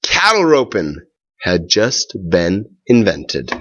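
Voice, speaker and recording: Slow-paced man reading a storybook, slightly muffled. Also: on the low side, wildly swooping pitch, a bit bright. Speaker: read-aloud storyteller. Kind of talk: expressive storybook narration.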